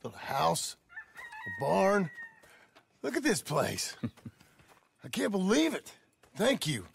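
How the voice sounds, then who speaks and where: A man acting a weary, wary former outlaw cowboy in a video game, with an American accent and a gravelly voice. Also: high voice, huge pitch swings, slow pace, slight background noise, exaggerated voice. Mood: dry, relieved.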